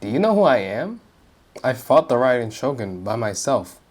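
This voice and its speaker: A man's voice: medium pitch, big pitch swings, normal speed. Brash young man reacting to a game. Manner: playful, brash, reacts aloud. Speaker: gamer commentating on playthrough. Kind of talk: casual gameplay commentary, slangy.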